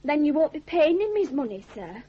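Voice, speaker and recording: A woman's voice: high voice, very animated, fairly quick. An actress in a radio drama playing a timid, poor young working-class girl, whining, pleading and deferential. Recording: dull old radio recording.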